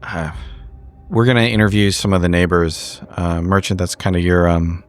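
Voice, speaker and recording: A man's voice: deep voice, fairly even intonation, moderate pace. A man playing a tabletop roleplaying game, talking in character in a dry, understated, hesitant way.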